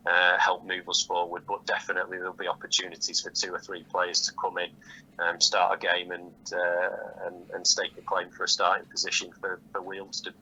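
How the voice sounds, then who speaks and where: A deep-voiced man with a very hard, bright edge and little melody speaks as a football manager on a phone interview. He answers in measured, halting phrases, repeating words as he works through them. The line is slightly dull. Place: phone call. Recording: dull, lightly noisy phone call audio.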